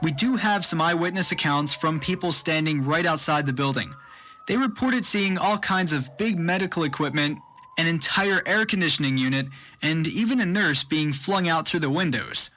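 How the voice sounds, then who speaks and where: An urgent live news reporter announces formally in a bright, forceful, higher male voice. Faint noise and ambience surround him, like an on-scene broadcast in an audio drama. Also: normal speed.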